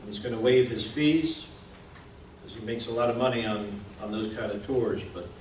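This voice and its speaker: A man presents informally to a group in a plain, folksy, slightly halting way. He sits at a middling pitch, heard a little away from the mic in an echoing room with noise behind him. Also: a little mellow. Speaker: presenter, radio station founder. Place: indoor museum event room. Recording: roomy, distant mic, clear background noise.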